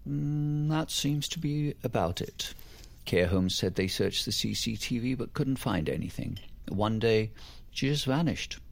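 An actor playing a dry, stubborn middle-aged English father who needles quietly, gravelly and husky, in an audio drama. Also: medium pitch, animated, a little slow. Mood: wry, matter-of-fact. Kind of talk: naturalistic audio drama acting.

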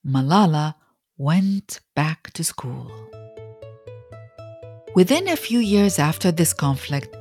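A woman narrates a children's story with a slightly low voice and very lively intonation. She phrases things deliberately, leaving dramatic pauses, with soft music underneath. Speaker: podcast host and storyteller.

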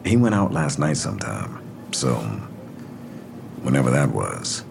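A man's voice: extremely low pitch, huge pitch swings, measured pace, creaky, faint music behind, heavily acted. An actor playing a hostile, evasive ex-convict stepfather in an audio drama, curt and dismissive with coarse street talk. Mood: defiant, menacing.

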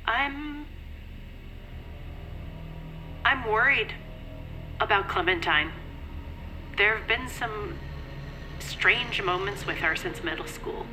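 A woman's voice: mid-range voice, animated, music in the background. A woman playing an anxious, grieving middle-aged mother in an audio drama, polite and hesitant. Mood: worried. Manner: hesitant, careful, halting. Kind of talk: naturalistic audio drama acting.

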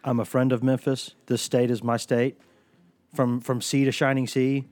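A man's voice: medium pitch, level tone, normal speed, a little mellow, no room sound. A man hosting a casual sports podcast from a studio, talking while he has a cold, rambling and joking as he tells stories. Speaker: podcast host.

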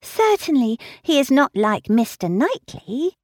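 A woman's voice: high-pitched, very animated, a little slow, a little mellow, no room sound, studio quality, voice pushed up, full character voice. A woman reading a gushing, fluttery young girl. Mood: admiring. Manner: breathless and gushing. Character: eager, fluttery young woman, easily impressed.

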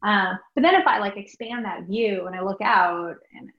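American woman doctor talking in an interview, thinks as she goes, lots of like and you know, echoey room. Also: medium pitch. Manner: thoughtful, halting, peppered with like and you know. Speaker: guest, doctor and writer. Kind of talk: conversational interview answers. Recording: roomy, dull lo-fi remote recording.

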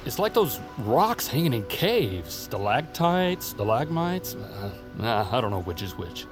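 An actor playing a nervous landlord who rambles and stammers in a conversational audio drama style. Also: male voice, mid-range voice, very animated, measured pace. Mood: nervous, baffled. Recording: music under the voice. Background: music.

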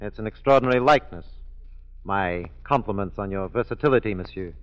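A man's voice: fairly low pitch, brisk, highs cut off, natural voice. Formal police inspector played by a man, reverberant. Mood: probing, dry. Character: formal, jealous French police inspector, dryly courteous suitor. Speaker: radio drama actor. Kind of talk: 1940s radio acting. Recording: 1940s radio broadcast with hall reverb.

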